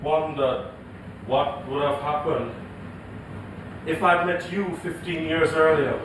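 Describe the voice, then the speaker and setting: An actor on stage playing an earnest, yearning middle-aged man who speaks haltingly. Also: fairly high pitch, fairly even intonation. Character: hesitant, yearning middle-class Jamaican married man. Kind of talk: theatrical stage drama. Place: indoor theatre stage. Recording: echoey noisy theatre, distant mic.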